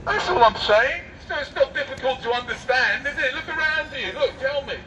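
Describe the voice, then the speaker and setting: A man's voice: very high-pitched, lively intonation, a little fast, a crowd in the background. A middle-aged British man arguing in a public street debate, mocking and provocative, firing rhetorical questions through a megaphone. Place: busy outdoor city street. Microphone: megaphone picked up by a distant camera mic. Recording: megaphone outdoors, distant mic, street noise.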